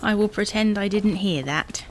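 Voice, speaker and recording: An actress playing a bossy, exasperated, teasing wife in a domestic comedy audio drama, brisk and dismissive. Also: female voice, mid-range voice, a little mellow, a little hiss or hum, natural voice.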